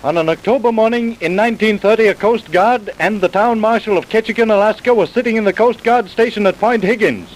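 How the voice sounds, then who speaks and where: A man's voice: sits high, brisk, hardly any pauses, a little hiss or hum, low-quality recording. A man narrating a scripted old-time radio crime drama in a formal, declamatory manner.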